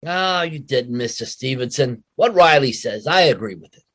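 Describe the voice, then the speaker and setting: A man's voice: mid-range voice, lively intonation, normal speed, fairly bright tone, no echo, studio quality. An actor playing a fawning, pushy working-class man who wisecracks ungrammatically in a radio comedy. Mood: sheepish. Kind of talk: old-time radio comedy acting.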